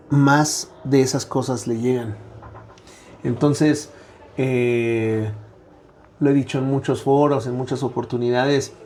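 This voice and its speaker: A middle-aged man with a Mexican accent talks as an interview guest, his voice dark and mellow at an ordinary middle pitch. He thinks aloud, padding his points with "eh" and checking in with "¿no?". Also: slight background noise. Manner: reflective, frequent "eh" fillers and tag "¿no?". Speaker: guest academic. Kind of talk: conversational interview.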